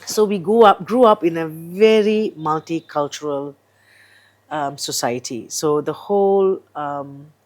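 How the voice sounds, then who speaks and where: A middle-aged woman from Singapore, a chef chatting as a guest in an interview, animated and full of fillers. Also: deep voice, measured pace. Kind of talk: casual interview chat.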